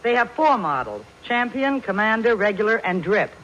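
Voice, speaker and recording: A woman's voice: mid-range voice, sing-song, moderate pace, very noisy, close to an everyday voice. Woman playing a wisecracking dame, muffled old radio. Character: brassy, wisecracking young woman. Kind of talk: old-time radio comedy acting.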